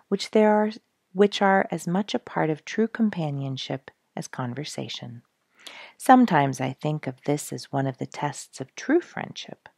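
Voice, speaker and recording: Middle-aged female story reader, husky with creaky edges, deliberate and emphatic. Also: deep voice, a little mellow, no room sound, studio quality. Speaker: storyteller reading a book aloud. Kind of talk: expressive storybook narration. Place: small quiet room indoors, seated in an armchair.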